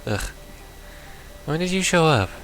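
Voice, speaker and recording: A man's voice: on the high side, very animated, unhurried, a bit bright, soft background music, low-quality recording. A man giving casual, baffled, sweary commentary as he plays through a visual novel. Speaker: gamer playing a visual novel. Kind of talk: casual playthrough commentary, reading game text aloud.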